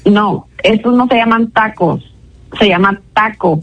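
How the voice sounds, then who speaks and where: A woman's voice: medium pitch, unhurried. A woman on a phone call, patient and polite, often laughing as she answers a caller's odd questions. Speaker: prank call target. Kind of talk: phone conversation.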